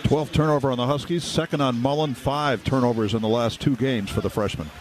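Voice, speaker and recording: A man's voice: mid-range voice, brisk. A man giving brief, factual color analysis on a live basketball radio broadcast. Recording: courtside with loud crowd and gym noise. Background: crowd and arena ambience.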